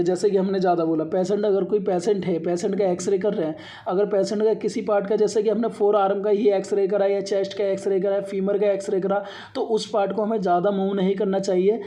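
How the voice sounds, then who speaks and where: Guy teaching a slide lesson point by point, soft mellow voice, repeats himself a lot. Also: high voice. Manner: repetitive, reads points out then re-explains them. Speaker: radiography instructor. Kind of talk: slide lecture, explaining point by point.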